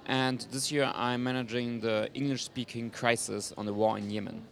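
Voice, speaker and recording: A young man from Germany, a guest speaking in a measured, thoughtful way. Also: medium pitch, little pitch movement, vocal fry, slight background noise. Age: young adult.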